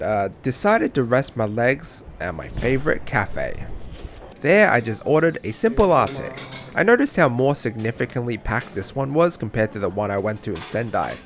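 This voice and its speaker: A man's voice: mid-range voice, a little hiss or hum. A man narrating his own travel vlog as a voice-over, chatty and self-mocking, with casual swearing. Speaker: travel vlogger.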